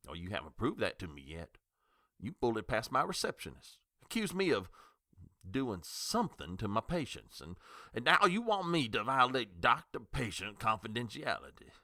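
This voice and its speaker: An actor playing a defensive small-town doctor who curtly refuses a detective's questions in a radio drama. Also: male voice, medium pitch, big pitch swings, normal speed, pushed and bright, dry recording, studio quality. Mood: indignant. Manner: curt and indignant.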